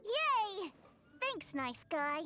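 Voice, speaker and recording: A woman's voice: very high-pitched, sing-song, very slow, background noise, exaggerated voice. A woman voicing an innocent, cheerful and stubborn little boy in a video game, earnest and childlike. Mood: delighted. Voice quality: bright, childlike. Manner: earnest, naive, boastful. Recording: soft music behind the voice.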